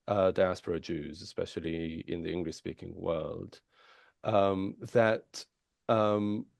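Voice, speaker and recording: A man's voice: lowish voice, vocal fry, no room sound. A man from Israel with an Israeli accent, a native Hebrew speaker, speaking thoughtfully as an editor on a panel, with frequent restarts and fillers. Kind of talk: panel discussion.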